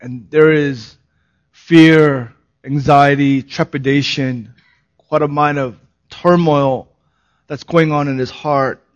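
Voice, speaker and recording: A man who is a pastor giving a sermon, hoarse in his voice, moving from a laughing, relaxed opening into earnest, solemn preaching. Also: fairly high pitch, slow, lo-fi sound.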